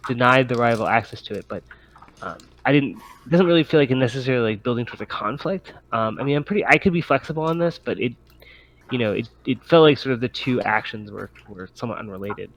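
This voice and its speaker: A man's voice: mid-range voice, fast talker, a bit bright. A man running a tabletop game as game master, casually explaining rules with a hesitant, stammering delivery full of restarts.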